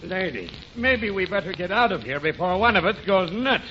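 A man's voice: sits high, lively intonation, moderate pace, sharp tone, exaggerated voice. An actor playing a brusque, no-nonsense policeman in 1940s radio comedy. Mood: puzzled, firm. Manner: brusque, blustery. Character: blustery Irish beat policeman, no-nonsense. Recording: dull, noisy 1940s radio recording.

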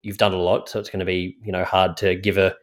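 Deep-voiced male podcast host. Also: monotone, fast talker. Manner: rambling, hesitant when asking questions. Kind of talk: interview podcast.

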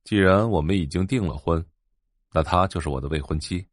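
A man playing a composed, resolute young rich heir in an audio drama, terse and calm. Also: low voice, fairly quick, no echo, clean studio sound. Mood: cool, resolute.

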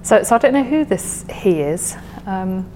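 A woman's voice: medium pitch, animated, unhurried, dark tone, long reverb tail, a little hiss or hum, rough recording. A woman talking reflectively about poetry as an interview guest, thoughtfully repeating words as she goes. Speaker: podcast guest discussing a favourite poem.

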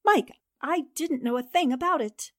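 A woman's voice: sits high, normal speed, dry recording, studio quality, natural voice. A woman playing a composed, inquisitive newspaper columnist in a 1940s style radio drama, earnest and direct.

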